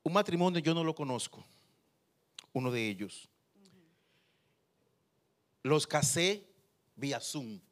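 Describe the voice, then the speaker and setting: Man, pastor, lively sermon storyteller, slightly high voice. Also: slow pace, long pauses. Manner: lively storyteller who acts out quotes. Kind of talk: sermon with personal anecdotes.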